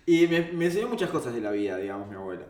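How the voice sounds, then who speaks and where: A man's voice: mid-range voice, big pitch swings, racing, darkish tone. A man with a Rioplatense Argentine accent chats casually as a guest, telling personal anecdotes in a candid, rambling way. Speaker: interview guest. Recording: roomy, echoey sound.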